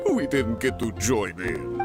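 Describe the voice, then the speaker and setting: A man's voice: fairly low pitch, expressive, measured pace, over loud music. An actor playing an overeager, theatrical young male adventurer recruiting for his guild. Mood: deflated. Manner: theatrical, boastful.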